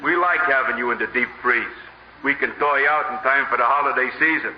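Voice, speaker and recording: An actor playing an unbothered, menacing gangster's collector who speaks formally and deadpan, without contractions, in a radio comedy. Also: male voice, mid-range voice, fast talker, natural voice. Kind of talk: 1950s radio comedy acting. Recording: muffled, echoey old radio broadcast, faint noise.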